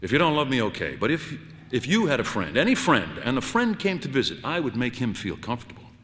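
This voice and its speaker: A man's voice: mid-range voice, huge pitch swings, fast talker, a bit bright, long reverb tail. A man voicing an indignant, exasperated wife who scolds her husband in an emphatic way, in an audiobook story. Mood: pleading, firm.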